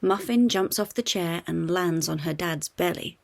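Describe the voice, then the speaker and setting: A woman reading a children's storybook aloud in an expressive scripted narration for young listeners. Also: on the low side, unhurried, creaky.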